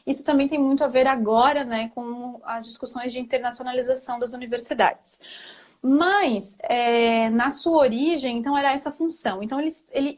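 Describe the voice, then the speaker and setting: High-voiced Brazilian woman teacher explaining. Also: darkish tone. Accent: Brazilian Portuguese. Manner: thoughtful explainer, many fillers and tag questions. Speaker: Portuguese language teacher. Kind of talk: explaining in a live online talk.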